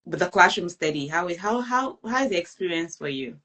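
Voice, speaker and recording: Low-voiced woman hosting an interview. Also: animated, brisk, sharp tone. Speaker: host and education consultant.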